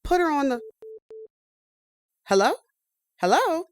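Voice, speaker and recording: An actress playing a driven, indignant engineer recruit in an audio drama, speaking in emphatic, insistent demands. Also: female voice, high voice, sing-song, slow, exaggerated voice. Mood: frantic, irritated. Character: Harper, driven, indignant woman engineer recruit, easily exasperated.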